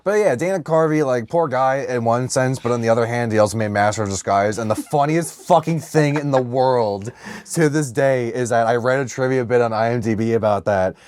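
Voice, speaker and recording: A man's voice: medium pitch, fairly quick, a little mellow, clear, resonant tone, no room sound. Irreverent man with a dry, close sound. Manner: irreverent, profane, jokey. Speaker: podcast co-host. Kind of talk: casual comedic banter.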